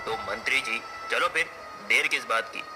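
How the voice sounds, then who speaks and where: A man's voice: mid-range voice, moderate pace, over music, close to an everyday voice. A man playing a proud king in a cartoon folk tale, with formal, regal phrasing. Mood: commanding. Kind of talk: cartoon story acting.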